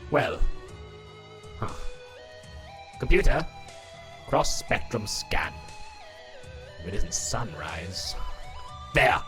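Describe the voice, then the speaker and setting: A man's voice: lowish voice, sing-song, drawn-out words, long pauses, music in the background, exaggerated voice, low-quality recording. A man voicing a vain, irritable robot ruler in a cartoon fan dub, haughty, theatrical and exasperated. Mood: brisk, worried. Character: vain, pompous, irritable robot ruler, self-glorifying schemer.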